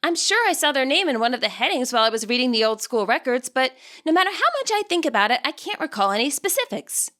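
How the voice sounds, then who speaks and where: Eager teen girl trainer played by a woman, high, bright, chatty and polite, for a storybook. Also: very animated, quick pace, few pauses. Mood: earnest, apologetic.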